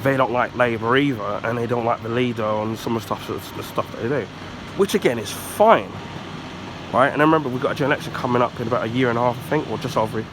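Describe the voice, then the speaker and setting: A man from the UK with a husky, congested voice from the flu, giving a casual rambling rant with many ums and throat clearing. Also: mid-range voice, fast, background noise. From United Kingdom. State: husky, recovering from flu, blocked nose. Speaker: YouTuber.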